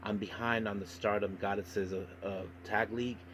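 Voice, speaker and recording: A man hosting a casual solo wrestling podcast, giving a news and review rundown in a halting way and stumbling over words. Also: fairly low pitch, flat delivery, noisy background.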